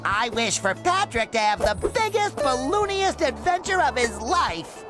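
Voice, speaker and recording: A man playing an excitable, naive young male sea sponge in a cartoon game, with a squeaky, nasal, gushing voice. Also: a little slow, heavily acted. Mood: cheerful, gushing. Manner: gushing, exuberant, childlike. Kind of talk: cartoon game cutscene acting. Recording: music under the voice.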